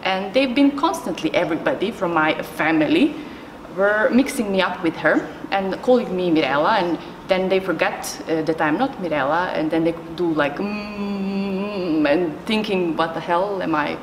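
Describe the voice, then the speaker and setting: Woman chatting about a memory, lowish voice, very echoey. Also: moderate pace. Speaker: youth network member. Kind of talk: casual anecdote. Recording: very echoey hall, faint noise.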